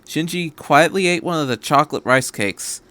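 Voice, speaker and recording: A man reading a story aloud as a podcast narrator, in a measured, scripted storytelling style. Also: on the high side, sharp tone.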